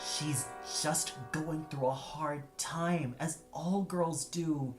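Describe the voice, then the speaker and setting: A man's voice: a little high, extremely slow, faint music behind, voice pushed up. A man voicing a dismissive wife and mother who brushes worries aside in an offhand way, in a story reading. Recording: echoey room.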